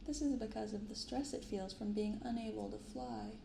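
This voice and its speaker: A soft-spoken woman hosting an ASMR unboxing, reading and commenting gently and without hurry. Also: mid-range voice, moderate pace, creaky, echoey room, background noise. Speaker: card unboxing video host. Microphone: binaural microphone close up.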